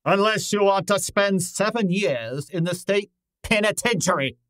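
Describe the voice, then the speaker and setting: An actor playing a pompous, imperious, money-minded detective in an old-time radio drama, speaking formally and curtly. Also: male voice, sits high, expressive, measured pace, fairly bright tone, no room sound, studio quality. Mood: stern, commanding. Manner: pompous, formal, ornate vocabulary, exasperated.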